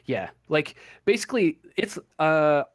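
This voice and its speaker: A man narrating an improvised tabletop game as game master, haltingly searching for his words. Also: fairly high pitch, big pitch swings, no echo, clean studio sound.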